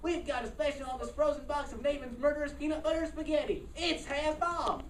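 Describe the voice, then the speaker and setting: A crazed discount-store salesman played by a woman delivers a pushy commercial parody in a high voice. The recording is distant and roomy, with some noise. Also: steady tone, brisk, close to an everyday voice. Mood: manic, pushy. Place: staged reading in a room. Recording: echoey, noisy room, distant mic.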